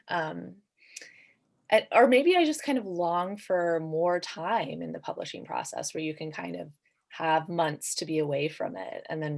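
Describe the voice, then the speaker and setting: Low, creaky-voiced woman talking casually. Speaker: debut author on a panel. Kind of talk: casual interview answer.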